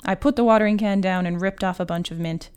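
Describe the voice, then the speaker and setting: Clear, smooth woman reading a story. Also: medium pitch, steady tone, quick pace, a little mellow. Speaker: guest writer reading her own fiction. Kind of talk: literary short story reading.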